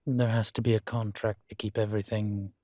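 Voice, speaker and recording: A man acting as a composed, courteous college professor in an intimate audio roleplay, speaking with measured, formal politeness and dry wit. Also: on the low side, level tone, dark tone, no echo, natural voice. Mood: dry, matter-of-fact. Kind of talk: intimate scripted one-sided roleplay dialogue.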